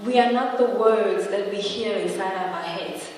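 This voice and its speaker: A young woman in her twenties gives a motivational talk to a live audience, chatty and joking, often tossing in quick questions to the crowd. Her voice rings through a large hall with long reverb, picked up from some distance, with a faint hiss behind. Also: medium pitch, a little mellow. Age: 20s. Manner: humorous, laughs at own jokes. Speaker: motivational speaker. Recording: echoey hall, voice from far off.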